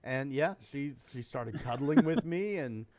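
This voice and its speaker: A man hosting a casual group chat, telling stories in an animated way and swearing freely. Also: medium pitch, unhurried, dry recording. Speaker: host of a comedy hobby show.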